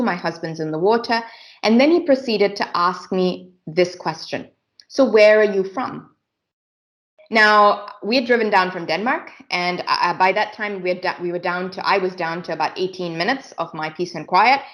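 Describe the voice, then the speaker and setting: A middle-aged woman from Singapore giving a presentation with personal stories, conversational and reflective, pausing as she thinks. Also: on the low side, fairly bright tone. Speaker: inspirational speaker and author.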